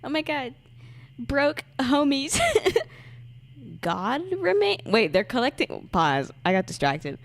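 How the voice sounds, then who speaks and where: A woman's voice: on the high side, very animated, slow pace, creaky, no room sound. A woman giving casual, playful commentary on a game livestream, joking and giggly. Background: game music.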